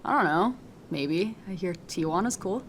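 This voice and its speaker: A woman's voice: lowish voice, lively intonation, normal speed, slight background noise, natural voice. A young actress playing a breezy but guarded teenage girl hitchhiker in a naturalistic audio drama, casual and a little sheepish.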